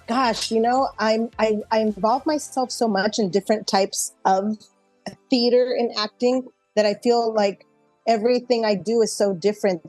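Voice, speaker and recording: A woman's voice: medium pitch, a little mellow, no echo, low-quality recording. American woman, dry close sound, music behind. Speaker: interview guest, actor and improv performer. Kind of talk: casual interview chat.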